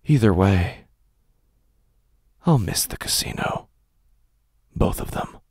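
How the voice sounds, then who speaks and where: A man playing a weary, fatalistic gambler who doubts reality, narrating a horror audio drama in brooding, deliberate, halting phrases. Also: low voice, animated, gravelly, a little mellow, breathy edge, no echo, studio quality, exaggerated voice. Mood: grimly resolved.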